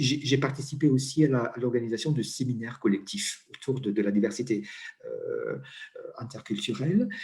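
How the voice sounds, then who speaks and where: A middle-aged man with an Italian accent, speaking French as an interview guest and pausing often with fillers and restarts. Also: mid-range voice, vocal fry, a little mellow. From Italy. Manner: hesitant, many fillers and restarts. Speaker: interview guest, coach and HR consultant. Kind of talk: conversational interview.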